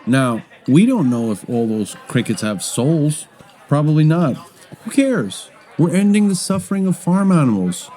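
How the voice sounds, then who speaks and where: Guy acting as a cocky argumentative buddy, audio drama, chatty and provocative, slightly high mellow voice. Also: sing-song, unhurried, some chatter behind. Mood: glib, provocative. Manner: glib, provocative, conversational. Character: brash, argumentative male friend debating ethics, teasing. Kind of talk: naturalistic audio drama dialogue.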